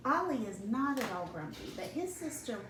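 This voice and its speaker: A woman with a fairly high voice reads a children's story slowly and deliberately, breaking off to put questions to her young listeners. The recording is roomy and a little distant from the mic, with noticeable noise behind her. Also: sing-song. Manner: slow, deliberate phrasing for children. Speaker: teacher reading a picture book to children. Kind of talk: read-aloud storytelling with asides to young listeners.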